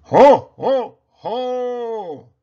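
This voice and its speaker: A man voicing a jolly, booming old Santa in a storybook reading. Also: expressive, drawn-out words, dark tone, heavily acted. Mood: merry. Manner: merry, booming. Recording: dull lo-fi recording.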